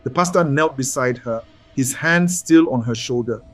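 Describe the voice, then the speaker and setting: A man narrating a scripted folktale as a storyteller, in a solemn, deliberate and dramatic way. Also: mid-range voice, a bit bright, soft background music.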